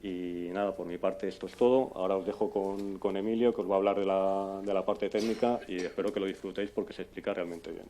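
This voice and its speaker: Low voiced guy from spain, spanish accent, hesitant informal talk, bit of background noise. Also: fairly even intonation, fast, few pauses, mellow. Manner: hesitant, conversational. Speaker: conference speaker, amateur audio drama scriptwriter. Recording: faint background noise, live room ambience.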